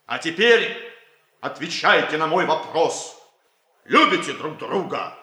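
A brash young student bossing and teasing his friends in an old radio comedy. His bright, high voice swings widely as he scolds, in a roomy studio sound. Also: big pitch swings, unhurried, full character voice. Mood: brisk, authoritative. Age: young adult. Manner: emphatic, scolding, exclamatory. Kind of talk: 1960s radio comedy acting.

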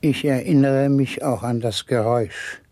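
An actor playing a grim townsman in a 1960s radio play about the plague, speaking in terse, deliberate phrases with a hoarse voice. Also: medium pitch, steady tone, mellow, no room sound. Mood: grim recollection. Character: grim, indignant townsman of plague-stricken London.

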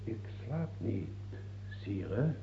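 Submissive old caged bishop in radio drama: slow, resigned, swinging in pitch, on a narrow phone-like old recording. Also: male voice, sing-song, roomy sound, full character voice. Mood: weary, resigned.

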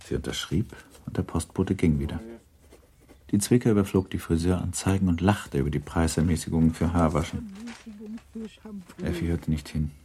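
A man narrating a literary radio play in a grave, measured, restrained manner. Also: low-pitched, warm tone, close to an everyday voice. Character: grave, restrained narrator of a 19th-century novel.

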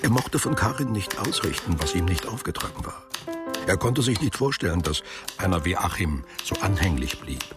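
A man's voice: deep voice, very animated, moderate pace, loud music behind the voice. A man reading literary prose as the scripted narrator of a radio drama.

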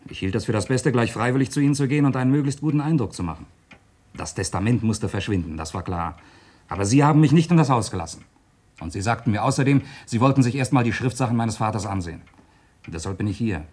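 An actor in a German radio crime drama playing a suspected, disinherited son, earnestly and emphatically justifying himself. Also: male voice, medium pitch, animated, quick pace, darkish tone, husky. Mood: defensive. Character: disinherited son under suspicion, defensive and calculating.

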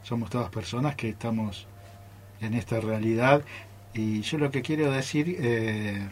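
An Argentine man with a medium-pitched voice speaks in a casual, joking manner. He is in a studio group chat, with faint noise in the background. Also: steady tone, unhurried. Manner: joking, informal. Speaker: radio theatre group member. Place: radio studio.

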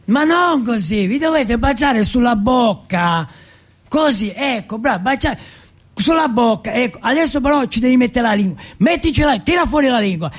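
A man's voice: very high voice, expressive, moderate pace, voice pushed up. A man playing a big young jailhouse bully in a stage monologue, mocking and sadistic, coaxing and ordering with coarse, repeated commands. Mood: gloating, commanding. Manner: taunting, coaxing, repetitive commands, coarse swearing.

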